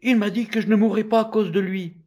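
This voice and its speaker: A man's voice: high-pitched, fairly even intonation, fast, warm tone, pitched above the performer's own voice. A man voicing a frightened young woman accused of a crime, reading her lines in a pleading, tearful manner. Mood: shaken.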